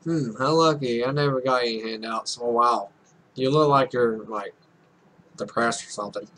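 A man's voice: medium pitch, moderate pace, a bit bright, a little hiss or hum, rough recording. A young man reading a visual novel aloud as a let's play host, offhand, stumbling over lines and adding casual, sweary commentary. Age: young adult.

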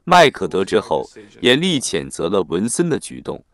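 A man narrates a prepared plot recap. His voice sits on the low side. The intonation is very animated, rising and falling widely as he reads. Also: moderate pace.

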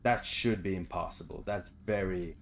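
A man's voice: sits low, normal speed, vocal fry, a little hiss or hum. A young man giving casual, informal let's play commentary, thinking aloud and swearing as he plays. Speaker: gaming video host. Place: small room indoors at home.

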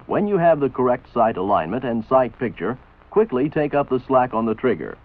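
American man, training film narrator, authoritative and didactic, lively pitch movement, thin band-limited old soundtrack. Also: fairly quick, narrow, tinny audio. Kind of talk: scripted instructional narration. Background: voice-over for an old military training film.

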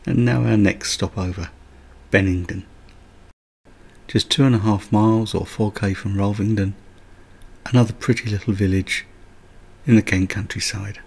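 A man's voice: lowish voice, fairly even intonation, moderate pace, creaky, long pauses, a little mellow, husky. A man narrating a countryside road trip as a voice-over, with light chatty asides. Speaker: travel vlogger. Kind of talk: scripted travel narration.

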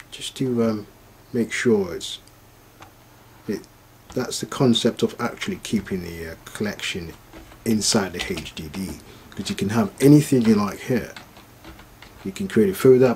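Male product demonstrator, fairly low voice, unscripted and hesitant, walking through steps over slight noise. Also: a little mellow. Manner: halting, thinking aloud while operating the device. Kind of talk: informal screen tutorial, unscripted step-by-step demonstration.

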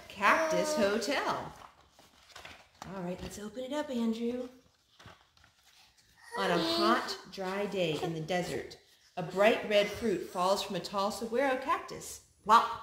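A woman in her thirties reading picture books aloud to young children at home, in an animated, child-directed way with drawn-out words. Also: on the high side. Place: living room at home, indoors. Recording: home recording with background noise.

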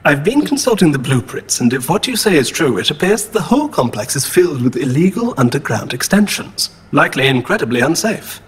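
A man's voice: a little high, sing-song, fairly quick, few pauses, breathy edge, full character voice. A man playing a polite, literal-minded robot companion in an audio drama, speaking precisely and formally. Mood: earnest, matter-of-fact.

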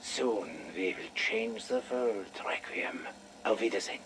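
An actor playing a coldly smug, villainous infiltrator in a video game, speaking in a deliberate, theatrical, gloating manner. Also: male voice, lowish voice, creaky, noisy background. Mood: menacing, smug.

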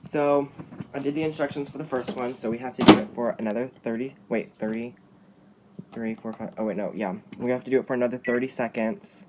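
A young man talks in an informal, playful way, like a home cook vlogging to friends. His voice sits at a middle pitch. The phone recording is very noisy, with clicks and clattering dishes in a kitchen. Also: steady tone, brisk. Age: young adult. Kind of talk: casual cooking vlog chat. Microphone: phone at arm's length.

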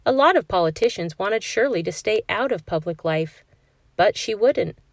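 A woman reading a children's picture book aloud in a clear, steady storytelling voice for a classroom. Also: medium pitch, normal speed, dry recording, clean studio sound. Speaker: read-aloud narrator.

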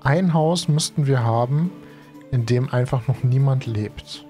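Chatty young gaming streamer with a cold. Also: male voice, mid-range voice, unhurried, dark tone, faint music behind. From Germany. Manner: chatty, slangy, thinks aloud with many fillers. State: has a cold and is tired. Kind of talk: casual let's play commentary. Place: home streaming room. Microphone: headset.

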